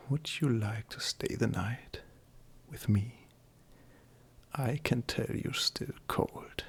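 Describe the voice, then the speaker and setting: A man playing an affectionate, teasing furry male friend in an intimate roleplay ASMR, softly flirtatious and hesitant, with a breathy, whispery voice. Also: mid-range voice, exaggerated voice. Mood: tender, shy.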